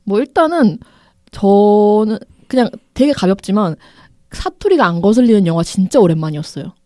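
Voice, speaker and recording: Woman with a Gyeongsang accent, lively pitch, dry sound, eager co-host. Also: normal speed, no echo. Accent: Gyeongsang Korean. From Gyeongsang region, Korea. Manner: earnest, enthusiastic, laughs often. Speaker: podcast co-host. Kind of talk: casual film review chat.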